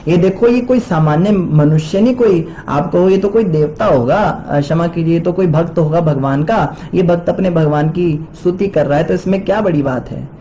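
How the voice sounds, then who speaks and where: A man's voice: fairly high pitch, normal speed, mellow, slight background noise. A man, likely in his twenties, giving a devotional scripture lecture in an explanatory way with rhetorical questions and everyday examples. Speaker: religious teacher giving a scripture class.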